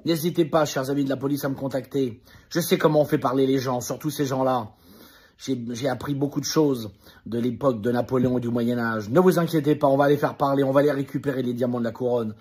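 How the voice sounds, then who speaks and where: A man's voice: medium pitch, fairly even intonation, fast talker, a little mellow, slightly rough. A man in a played clip making a pompous, indignant statement.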